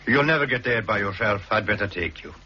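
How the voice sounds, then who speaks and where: A man with a Scottish Highland accent playing a plain-spoken island boatman in a 1960s radio drama, giving practical warnings in a measured, matter-of-fact way. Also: animated, bright, forceful tone. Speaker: local boatman and guide. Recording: dull archive radio recording with faint hiss.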